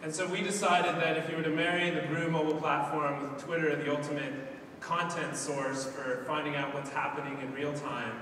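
Upbeat man talking on a boomy stage. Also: flat delivery, a little fast, bright, forceful tone. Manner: upbeat, casual, with fillers. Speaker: guest speaker. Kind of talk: conference keynote talk. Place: large conference hall stage. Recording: echoey hall from far off with noise.

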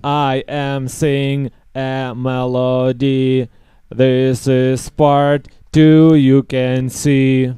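Guy doing flat demo vocal, super slow, bone dry sound. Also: medium pitch, clear, resonant tone, dry recording.

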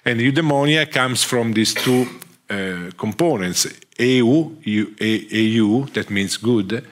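A middle-aged man from Italy with a strong Italian accent, giving an academic lecture on a theatre stage, halting often with fillers and restarts. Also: medium pitch. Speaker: guest lecturer. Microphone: headset.

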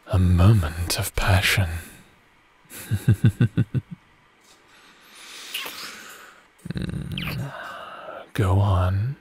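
Man acting a slow-talking, teasing necromancer husband. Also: on the low side, breathy edge, full character voice. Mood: seductive, teasing. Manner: tender, teasing, theatrical, often chuckling. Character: affectionate, playfully wicked necromancer husband, theatrical and teasing. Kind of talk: ASMR romantic roleplay audio drama.

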